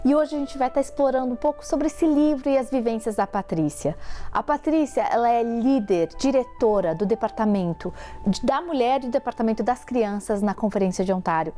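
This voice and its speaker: Woman host, friendly welcome, a bit high, mellow, faint music underneath. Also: a little fast. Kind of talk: talk show hosting.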